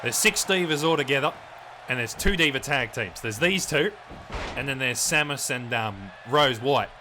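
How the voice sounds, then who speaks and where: A man's voice: medium pitch, expressive, moderate pace, background noise, natural voice. A man playing a crude, swearing Australian wrestling commentator who rambles in a blokey way over a video game match. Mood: crude banter.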